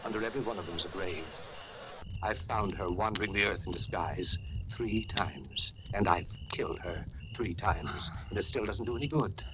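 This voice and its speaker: An actor playing an unhinged, obsessed hotel keeper in an old radio horror drama, with a folksy, rambling, falsely solicitous and menacing manner. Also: male voice, deep voice, normal speed. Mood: brooding, obsessed. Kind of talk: 1940s radio horror acting. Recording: noisy, hissy old-time radio recording.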